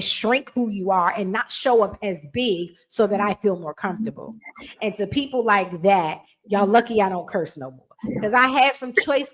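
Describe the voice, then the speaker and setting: A woman podcast co-host speaking in lively, emphatic casual conversation. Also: mid-range voice. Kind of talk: casual chat.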